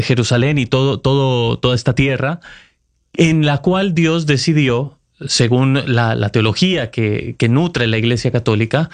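A Costa Rican man in his thirties, a correspondent giving thoughtful, earnest answers in an interview. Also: mid-range voice. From Costa Rica. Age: 30s. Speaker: Vatican correspondent, guest.

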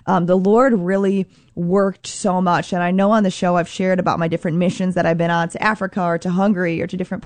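A woman hosting a faith radio show, earnest and upbeat, with a slightly scratchy, hoarse voice. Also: on the low side, a little mellow, no echo. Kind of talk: prayerful scripture talk.

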